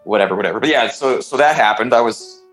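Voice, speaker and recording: A man's voice: medium pitch, quick pace, a bit bright, soft background music, rough recording. A man, a podcast guest, telling an anecdote in a rambling, self-interrupting casual way. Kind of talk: casual conversational storytelling.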